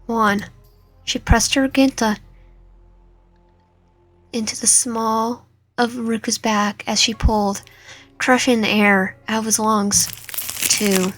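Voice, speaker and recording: A woman's voice: fairly high pitch, steady tone, slow pace, pauses often, fairly bright tone. A woman narrating a dramatic story read aloud, stumbling over and repeating some words as she reads. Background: background music.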